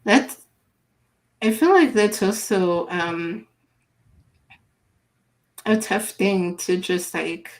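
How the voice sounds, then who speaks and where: A woman hosting a live online interview, speaking in an unhurried, halting way as she reads viewer comments aloud. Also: mid-range voice. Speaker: livestream host.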